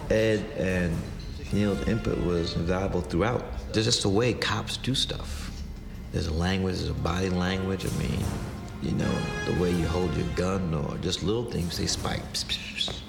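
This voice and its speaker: A man's voice: deep voice, fairly even intonation, a little slow, vocal fry. A man interviewed for a behind-the-scenes film feature, explaining his work in a reflective way. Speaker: filmmaker interviewee. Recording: echoey room with music bed.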